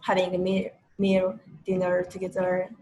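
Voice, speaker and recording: Halting young woman student. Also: lowish voice, completely flat delivery, mellow. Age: young adult. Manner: halting, fillers like "like" and "uh". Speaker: undergraduate student guest. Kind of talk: casual panel talk.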